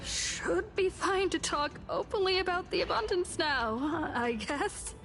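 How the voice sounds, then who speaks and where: A woman's voice: very high voice, expressive, a little slow, fairly bright tone, full character voice. A woman voicing a modest, polite and composed young woman in a video game. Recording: faint game music behind.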